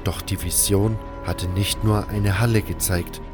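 Low-voiced male fantasy narrator. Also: fairly even intonation, unhurried, darkish tone, soft background music. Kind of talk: scripted first-person fantasy narration.

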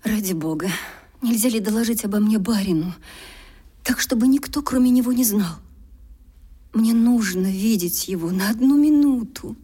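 An actress playing a poor, desperate gentlewoman in a period radio drama, pleading with sighs and dropping toward whispers. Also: on the high side, animated, moderate pace, slightly rough. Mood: pleading, urgent. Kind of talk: Russian radio drama acting.

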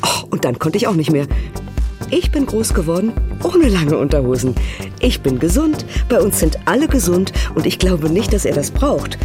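A low-pitched assertive mother tells of family life with open exasperation. She speaks conversationally, as in a documentary radio play, with music clearly behind her. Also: big pitch swings, moderate pace. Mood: indignant. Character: exasperated, assertive German mother recounting family quarrels in Beijing.

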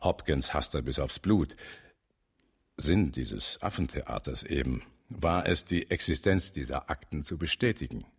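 An actor playing a shrewd older police chief in a German radio drama, speaking with blunt, measured authority. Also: male voice, rumbling low, lively intonation, gravelly, breathy edge, heavily acted. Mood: calculating.

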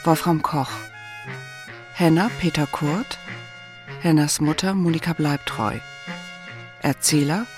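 A woman's voice: deep voice, slow, long pauses. A woman reading out the scripted credits of a radio drama in an announcing style. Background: music.

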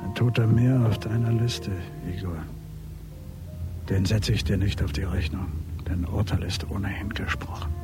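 An actor playing a brooding avenger, detached and deliberate, speaking his cold thoughts in a radio play. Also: fairly low pitch, steady tone, lightly acted. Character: brooding male avenger, coldly indifferent to death. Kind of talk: radio play interior monologue. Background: music with French horn.

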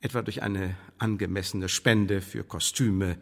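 An actor playing a coolly menacing English intelligence official in a radio play, speaking in formal, measured, condescending bureaucratic phrases. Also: male voice, sits low, fairly even intonation, creaky, heavily acted. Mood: formal, controlled. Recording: studio radio play with long reverb.

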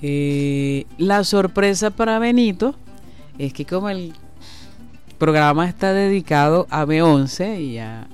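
A man hosting a radio show from a studio, explaining at length and pausing often on fillers. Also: on the high side, animated, clear, resonant tone, faint music behind. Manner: slow, explanatory, halting with fillers. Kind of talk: radio talk and interview.

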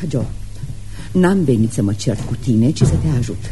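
A woman's voice: rumbling low, expressive, dark tone, soft background music. An actress in a radio play playing a brash, meddling middle-aged mother with a domineering, scolding manner. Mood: coaxing, bossy. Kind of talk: radio theatre acting.

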